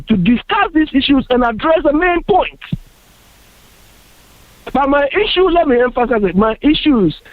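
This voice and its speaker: A man's voice: very high voice, no room sound. A Ghanaian man making his case on a radio panel discussion, earnest and emphatic, often repeating himself. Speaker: panel guest. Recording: dry tinny phone-like radio sound.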